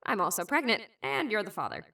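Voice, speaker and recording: A woman's voice: mid-range voice, very animated, fast, sharp tone, recorded from a distance. A woman voicing a brash young woman who is sarcastic, blunt and teasing. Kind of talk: fan animation voice acting.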